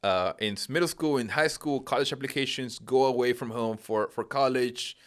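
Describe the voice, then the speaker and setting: A man's voice: medium pitch, normal speed. A man in his thirties, originally from Peru, explaining patiently in a casual conversation with frequent rhetorical questions.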